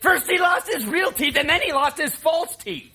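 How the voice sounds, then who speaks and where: An actor playing a taunting, bitter younger brother in a stage play, with a sarcastic, theatrical delivery. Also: male voice, very high-pitched, normal speed, creaky, pushed and bright, a little hiss or hum, heavily acted. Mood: agitated. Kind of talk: live stage play acting.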